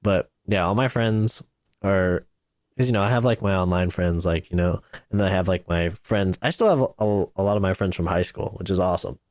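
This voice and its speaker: Chill 20s youtuber dude, kinda low voice, says bro a lot, dead dry recording. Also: male voice, a little fast, darkish tone, no room sound. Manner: laid-back, fills talk with "like", "dude" and "bro". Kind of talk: casual podcast chat.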